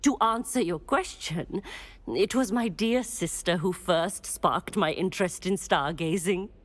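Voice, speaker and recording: An actress playing a proud, precise astronomy professor, prim, scholarly and faintly disdainful, in scripted game dialogue. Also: female voice, fairly low pitch, unhurried, dry recording. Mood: prim, earnest.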